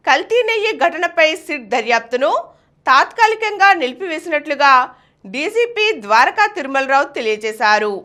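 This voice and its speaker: A woman's voice: very high-pitched, pushed and bright. A woman news reader, delivering a scripted news bulletin.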